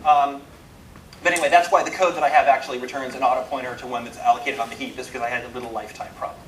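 A man giving an informal technical talk, emphatic and wryly self-deprecating, with dramatic pauses for effect. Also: mid-range voice. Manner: animated, self-deprecating, wry humour. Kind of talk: informal live lecture to a programmer audience. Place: indoor talk room. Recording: roomy, distant mic, some background noise.

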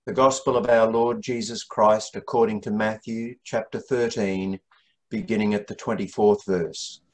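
An older man reading scripture in a measured, solemn manner as the Gospel reader at a church service. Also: lowish voice, steady tone, a little mellow. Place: small room at home, indoors.

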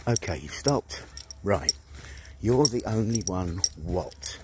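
A man's voice: deep voice, lively intonation, takes it slowly. A man, doing a brash, sweary, mocking one-sided prank phone call outdoors, filmed on a phone held at arm's length. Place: outdoors among trees. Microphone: phone held at arm's length, headphones on. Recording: noisy outdoor phone recording.

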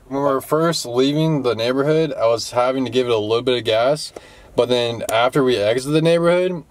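A man's voice: medium pitch, no echo. Easygoing young man describing driving. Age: young adult. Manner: describes how the car drives, easygoing. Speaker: co-host driver. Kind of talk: casual car vlog. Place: inside a small car.

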